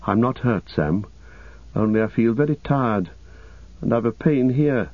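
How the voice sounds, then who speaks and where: A man's voice: mid-range voice, lively intonation, a little slow, no echo, phone-quality sound. A man voicing a wounded, despairing young hobbit in an audiobook, anguished and breathless, veering from weary to frantic. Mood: weary, shaken. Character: wounded, despairing, feverish young male ring-bearer hobbit.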